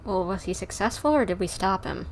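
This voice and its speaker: A woman's voice: mid-range voice, expressive, a little fast. A woman livestreaming a game, giving casual, playful, chatty commentary as it plays. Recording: livestream mic with faint background noise.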